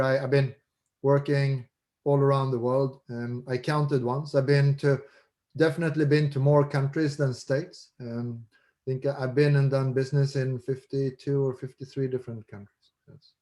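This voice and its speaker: A man's voice: medium pitch, dark tone. A man from Sweden with a Swedish accent, speaking informally as a new association president in an online meeting, often repeating words as he goes. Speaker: incoming president of a professional association. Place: video conference call.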